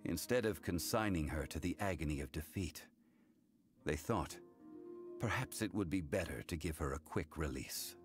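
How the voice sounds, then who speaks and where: A man playing a calm, grave consultant in a video game, speaking formally and with solemn measure. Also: sits low, normal speed, soft background music, lightly acted. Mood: somber, measured. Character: calm, grave, worldly male consultant recounting hard truths. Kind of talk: English video game voice acting.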